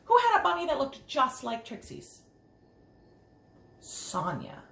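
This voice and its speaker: A woman reading a picture book aloud to young children, expressive, with chatty asides and simple questions to her listeners. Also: sits high, slow pace, vocal fry. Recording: roomy echo, some background noise.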